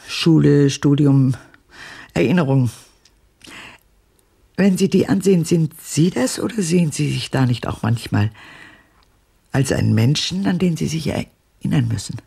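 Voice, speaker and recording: Haunted old woman for radio drama: very husky, gravelly, bitter, deliberate. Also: expressive, dark tone, heavily acted. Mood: probing. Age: older. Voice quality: very husky, breathy, low for a woman. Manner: deliberate, pointed, dramatic, dropping into whispers.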